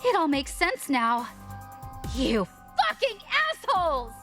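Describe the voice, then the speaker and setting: An actress playing a blunt, crude, expressive female space crew member in a video game. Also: high-pitched, slow, soft background music, exaggerated voice. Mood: outraged.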